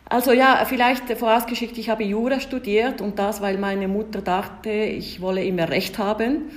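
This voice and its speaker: A woman in her forties from Ticino whose native language is Italian, speaking German with an Italian-Swiss accent as a diplomat guest on a podcast, hesitant and full of filler sounds but lively. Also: medium pitch, normal speed. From Ticino, Switzerland. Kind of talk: podcast interview storytelling.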